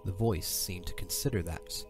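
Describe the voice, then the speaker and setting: Male narrator, deep register, scripted dramatic storytelling, light music underneath. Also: moderate pace. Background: soft music.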